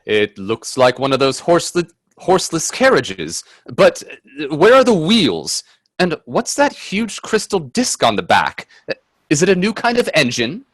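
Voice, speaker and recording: An actor playing a nervously polite, incredulous gentleman guest in a radio play, stammering and deferential. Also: fairly high pitch, huge pitch swings, a little slow, no echo, full character voice. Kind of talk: radio play acting with narration.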